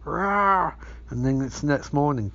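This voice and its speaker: A podcast host with a hoarse, gravelly voice rambles through a movie recap, swearing freely. His pitch sits mid-range for a man. The recording is dull and lo-fi. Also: unhurried. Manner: sweary, rambling, acts out scenes. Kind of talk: casual profane movie recap.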